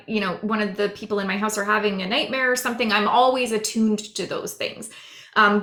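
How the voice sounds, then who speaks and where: A woman psychic medium chatting on a podcast with a hoarse, raspy voice, explaining earnestly at length. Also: mid-range voice, bright, forceful tone, roomy sound. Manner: rambling, earnest, drawn-out explaining. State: hoarse, losing her voice. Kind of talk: casual podcast chat.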